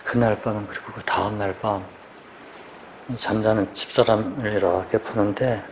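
A man in his fifties, a police officer, giving a quiet, halting personal testimony in church and asking for prayer. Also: lowish voice, steady tone, a little hiss or hum. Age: 50s. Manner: quiet, halting, searching for words.

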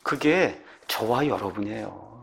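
A man's voice: on the low side, a little slow, gravelly, fairly bright tone. A man preaching an expository sermon, didactic and emphatic, often putting rhetorical questions to his listeners. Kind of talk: expository sermon, retelling scripture verse by verse.

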